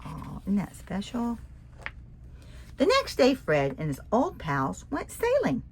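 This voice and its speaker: A woman reading a rhyming children's picture book aloud in an expressive, sing-song way, asking the listener questions. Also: medium pitch, slow, a little hiss or hum.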